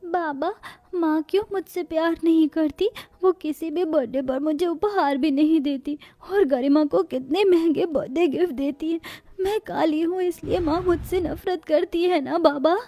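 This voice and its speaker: Woman acting a plaintive, high little girl. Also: moderate pace, dark tone, no echo. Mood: sad, pleading. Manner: plaintive, childlike. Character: sad, unloved little girl pleading with her father. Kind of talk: cartoon moral story voice acting.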